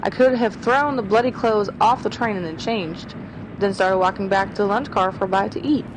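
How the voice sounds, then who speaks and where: A woman voicing a sharp young schoolteacher sleuth in an old-time style radio drama, reasoning her way through a murder. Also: medium pitch, moderate pace, close to an everyday voice. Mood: tense. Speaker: voice actor. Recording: noisy radio drama recording.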